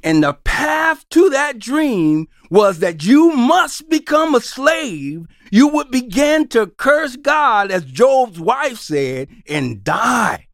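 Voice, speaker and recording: A woman's voice: a little high, very animated, slow pace, few pauses, no room sound, studio quality. A woman preaching an impassioned sermon, emphatic and building through repetition.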